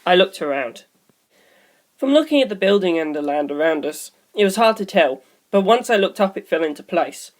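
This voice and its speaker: A woman's voice: lowish voice, animated, normal speed. A woman reading scripted story narration as an audiobook narrator.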